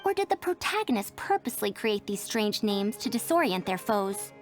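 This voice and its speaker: A woman's voice: medium pitch, very animated, normal speed, lightly acted. A woman playing a haughty, old-fashioned scholar in an anime video game, speaking precisely and pedantically. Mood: critical, puzzled. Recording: game audio over clear background music.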